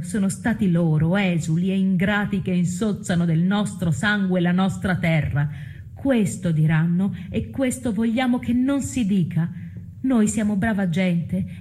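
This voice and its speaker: A sanctimonious citizen chorus, husky dark voice, measured proclaiming delivery, radio play. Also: female voice, mid-range voice. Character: self-righteous citizen chorus, smug and judging. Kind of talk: theatrical radio drama.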